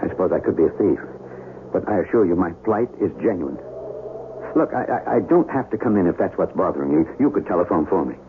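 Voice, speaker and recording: An actor playing a practical, agitated middle-aged scientist in an earnest, halting, urgent way, in a 1970s radio drama. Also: male voice, deep voice, lively intonation. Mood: pleading, flustered. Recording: tinny lo-fi radio recording, faint noise.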